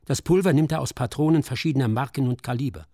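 A male narrator with a medium-pitched voice reads scripted documentary drama. His delivery is sober and clipped, and the studio sound is dry and close to echo-free. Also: quick pace, dark tone.

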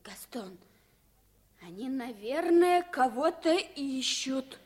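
An actress playing an earnest, excitable and naive young boy in a 1950s radio drama. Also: high-pitched, slow. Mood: anxious.

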